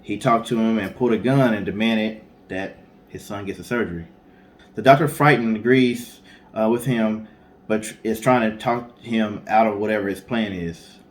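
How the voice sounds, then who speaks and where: A man's voice: fairly low pitch, normal speed. A man co-hosting a casual storytelling show, speaking conversationally with informal asides. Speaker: co-host.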